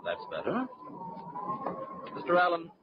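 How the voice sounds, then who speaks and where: An actor playing a suave, icily polite husband with a secret, in formal, measured old-time radio drama style. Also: male voice, high voice, wildly swooping pitch, exaggerated voice. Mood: smoothly polite. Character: suave, coldly courteous husband hiding a secret. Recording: muffled, noisy old radio recording.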